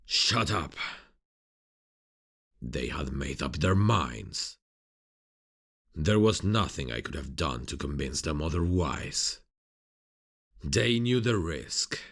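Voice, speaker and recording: A man playing a grim, defensive detective in an ASMR roleplay, terse and stern with a husky voice. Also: very deep voice, animated, slow, pauses often, a bit bright, full character voice. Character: grim, defensive detective haunted by past failures.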